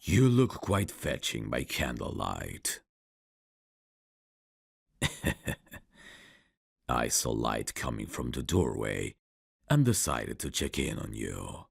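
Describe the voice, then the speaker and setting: Very deep, gravelly vampire count. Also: male voice, sing-song, no echo, studio quality, full character voice. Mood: intimate, intrigued. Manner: slow, courtly, old-fashioned, intimate phrasing. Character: suave male vampire count, courtly, seductive, quietly menacing. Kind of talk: ASMR roleplay acting. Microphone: close dry studio mic.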